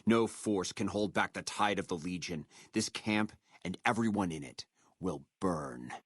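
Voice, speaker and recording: An actor playing a proud, menacing captured military officer in a video game, with a measured, contemptuous delivery. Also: male voice, low-pitched, a bit bright, slightly rough, no echo, studio quality, exaggerated voice. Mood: defiant, contemptuous. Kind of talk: video game character dialogue.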